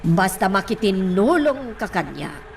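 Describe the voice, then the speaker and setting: A money-minded middle-aged mother in an Ilocano radio drama. She talks slowly, with big theatrical swings in pitch and an Ilocano accent. She sounds self-assured and scheming. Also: sing-song, creaky, far from the mic, slight background noise.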